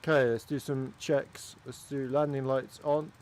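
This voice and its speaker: A man's voice: mid-range voice, flat delivery, unhurried, a little mellow. A man from Wales with a Welsh accent, giving casual, chatty commentary while flying a flight simulator. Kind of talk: casual gaming commentary with chat.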